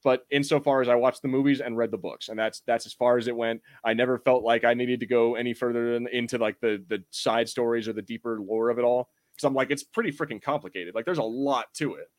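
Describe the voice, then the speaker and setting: A man with a medium-pitched voice talks as a podcast host in casual conversation. He rambles loosely and irreverently, dropping swear words as he goes. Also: fairly even intonation, fast, few pauses. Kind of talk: casual chat about fantasy film and TV.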